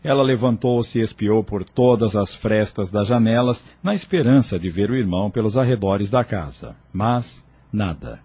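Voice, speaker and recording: A man reading scripted narration for an audio drama in a measured storytelling manner. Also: fairly low pitch, dark tone, husky, no echo.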